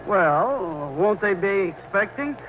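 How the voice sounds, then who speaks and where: An actor playing a timid, nervous, stammering groom in a 1940s radio comedy. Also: male voice, sits high, very animated, takes it slowly, narrow, tinny audio, exaggerated voice. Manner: stammering, hesitant, sheepish chuckles. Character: timid, nervous, bashful grown man Homer, the groom. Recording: noisy, hissy 1940s radio recording.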